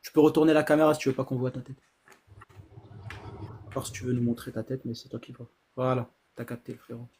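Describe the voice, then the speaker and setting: A man's voice: mid-range voice, fairly even intonation, fast, pauses often, warm tone, slightly rough. A man co-hosting a live online debate, speaking bluntly with slang and jumping in to lecture the others insistently. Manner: blunt, combative, uses slang. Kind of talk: heated casual debate.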